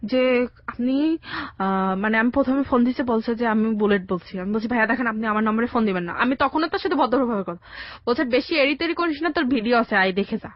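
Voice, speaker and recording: A young woman with a Bangladeshi accent giving a halting, colloquial personal account as a radio interview guest. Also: on the high side, no room sound, lo-fi sound. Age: young adult. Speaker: interview guest telling her life story. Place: radio studio.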